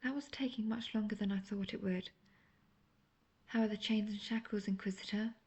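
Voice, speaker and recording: A woman playing a manipulative, seductive imprisoned witch in a fantasy audio roleplay, speaking intimately in a breathy near-whisper. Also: medium pitch, fairly even intonation, normal speed, darkish tone, dull audio, lightly acted. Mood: smug, taunting.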